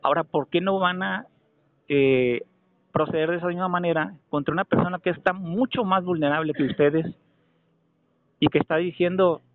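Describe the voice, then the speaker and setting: A Mexican man making a call to argue a point, speaking insistently and haltingly, often repeating phrases for emphasis. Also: fairly high pitch, dry recording. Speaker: caller. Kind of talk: phone complaint, argumentative questioning. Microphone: telephone. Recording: narrow, tinny telephone call recording.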